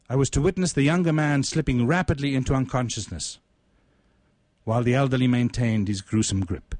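A man reading his own literary short story aloud in a deliberate, measured, ornate manner. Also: medium pitch, darkish tone, no room sound.

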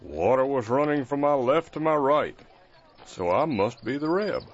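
A man playing a gentle, wry blind caretaker. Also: mid-range voice, lively intonation, moderate pace, slight background noise, lightly acted. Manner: folksy, patient and kind. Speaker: nurse to wounded soldiers. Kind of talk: audio drama acting. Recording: muffled lo-fi recording.

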